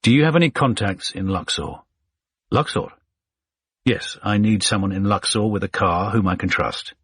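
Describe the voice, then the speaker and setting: A man's voice: fairly low pitch, normal speed, a bit bright, slightly rough, no room sound. A man voicing a male newspaper journalist who is earnest and polite, asking for help directly.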